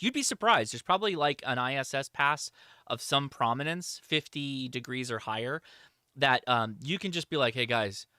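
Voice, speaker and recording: Bright-voiced man hosting a casual livestream. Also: mid-range voice, clear, resonant tone, no room sound, studio quality. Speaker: livestream host answering chat questions.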